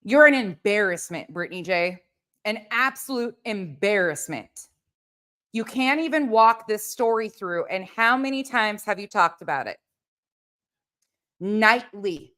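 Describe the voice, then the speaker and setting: A woman's voice: medium pitch, lively intonation, a little slow, fairly bright tone. A woman hosting a live reaction stream, with a blunt, combative, sweary way of talking. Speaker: livestream host.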